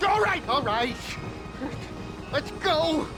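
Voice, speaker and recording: A man's voice: very high-pitched, lively intonation, slow, music in the background, full character voice. A man playing a paranoid fugitive tech expert in a video game, frantic, stammering and repeating himself. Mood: panicked. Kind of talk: scripted video game cutscene acting.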